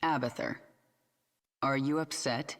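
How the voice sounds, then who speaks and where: A woman's voice: a bass voice, steady tone, slow pace, a bit bright, full character voice. An actress playing a driven, vengeful alien queen in a video game, terse and commanding. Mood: cool. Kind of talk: video game cutscene acting.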